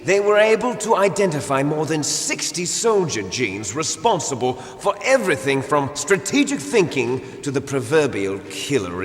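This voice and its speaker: An actor playing a fanatical male rebel leader in a video game, declaiming dramatically with taunting menace. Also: on the high side. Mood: grim, revelatory. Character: theatrical male villain, fanatical rebel leader obsessed with genes. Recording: long hall reverb. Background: game music.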